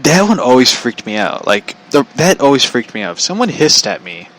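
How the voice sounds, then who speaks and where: A man's voice: medium pitch, very animated, a little fast, fairly bright tone, poor audio. A man telling stories in a casual, rambling, foul-mouthed way as a podcast host, incredulous and repeating himself for emphasis.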